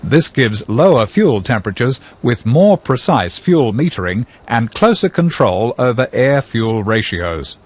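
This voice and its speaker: A man narrating an educational film in a scripted, measured and precise explanatory manner. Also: mid-range voice, very animated, a little mellow.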